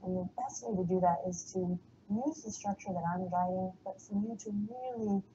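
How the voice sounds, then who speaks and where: A woman with a slight Texan accent guiding a yoga class, giving calm, soothing cues and breathing the exhales out in whispers. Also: mid-range voice, normal speed, muffled recording, slight background noise. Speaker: yoga instructor.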